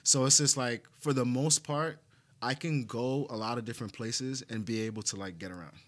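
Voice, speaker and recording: A man talks as a guest in a casual interview. His pitch sits in an ordinary middle range. He is reflective and slangy, often leaning on "like" and "right?" as he thinks things through. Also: normal speed. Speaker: interview guest, media and marketing executive.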